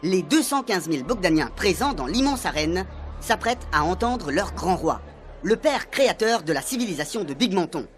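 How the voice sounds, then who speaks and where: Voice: medium pitch, very animated, normal speed. A narrator reading a scripted, dramatic recap for a comic radio serial. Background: faint music.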